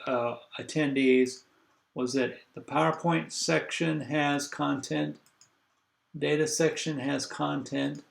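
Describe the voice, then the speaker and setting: An older man lecturing a university class over a video call, congested, halting and full of fillers as he thinks aloud. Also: mid-range voice, gravelly, roomy sound.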